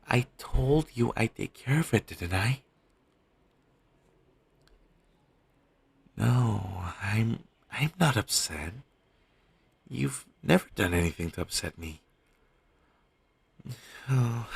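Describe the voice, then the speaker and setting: A caring talent manager played by a man in an intimate audio roleplay, with a gravelly, husky voice. He coaxes and gently teases, his intonation rising and falling freely. The amateur recording is low in quality. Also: heavily acted. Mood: apologetic, earnest. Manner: coaxing, gently teasing. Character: caring, teasing male talent manager soothing a troubled actor. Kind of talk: scripted audio roleplay, one-sided intimate conversation.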